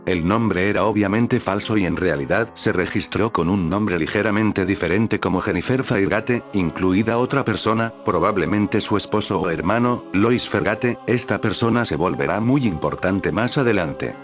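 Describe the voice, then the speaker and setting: A man with a very low voice reads a scripted narration at a steady, even pace. Soft music plays quietly underneath him. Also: normal speed, hardly any pauses. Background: faint music.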